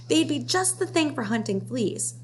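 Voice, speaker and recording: A courteous, resourceful boy adventurer from a storybook, read by a woman. The manner is helpful and polite, over a clear background hum. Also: sits high, very animated, normal speed, pitched above the performer's own voice. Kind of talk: children's storybook read-aloud.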